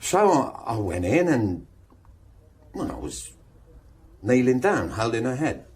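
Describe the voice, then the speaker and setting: An actor playing a nervous, evasive suspect in a radio drama police interview, halting and stumbling over his words. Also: mid-range voice, big pitch swings, darkish tone. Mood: flustered, pleading. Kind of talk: naturalistic radio drama acting.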